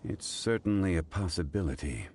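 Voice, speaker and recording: A man voicing a composed, scholarly gentleman investigator in a video game, speaking in a formal, deliberate, analytical way. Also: low-pitched, expressive, background noise. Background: music.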